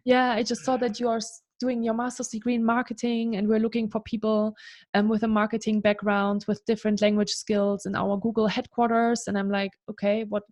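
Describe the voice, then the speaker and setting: A woman's voice: a little high, flat delivery, fairly quick, darkish tone. A German woman in her thirties, a guest in a casual interview, talking in a chatty way with lots of "kind of" and "you know".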